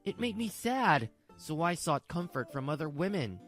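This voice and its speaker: A woman's voice: deep voice, very animated, moderate pace, soft background music. A woman voicing a self-pitying, evasive young husband in a dubbed manga drama, stammering and pleading his excuses. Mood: defensive pleading.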